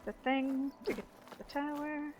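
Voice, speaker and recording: A woman's voice: high voice, level tone, moderate pace, music in the background. A woman giving casual, chatty video game commentary, thinking aloud as she plays.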